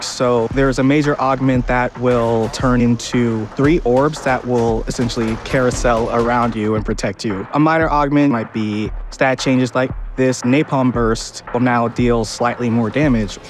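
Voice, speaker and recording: A man's voice: medium pitch, slight background noise. A man speaking as a game developer, explaining gameplay features in a presentation.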